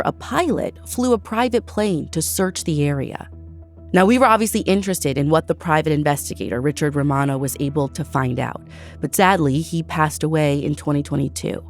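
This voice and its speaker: A woman with an American accent narrates as a true crime podcast host. Her voice sits on the low side, with music playing behind her scripted storytelling. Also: a little mellow.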